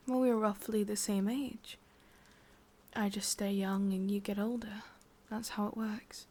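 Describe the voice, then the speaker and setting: Breathy whispering English witch, played by a woman. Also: mid-range voice. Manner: soft, intimate whispering, teasing and unhurried. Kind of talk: whispered ASMR roleplay.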